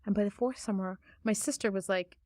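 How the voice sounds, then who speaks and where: A woman guest in a conversational interview, talking about her book in a reflective, soft-spoken storytelling manner. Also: medium pitch, brisk, dry recording, clean studio sound. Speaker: author and guest. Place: studio.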